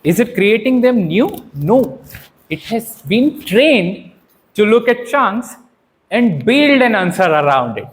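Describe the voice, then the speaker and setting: Male trainer, Indian accent, high voice with big melodic swings; emphatic stage delivery, deliberate pauses. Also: big pitch swings. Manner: theatrical, emphatic, rhetorical questions and deliberate pauses. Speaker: public speaking trainer at a Toastmasters session. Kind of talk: live workshop talk, impromptu teaching with personal anecdotes.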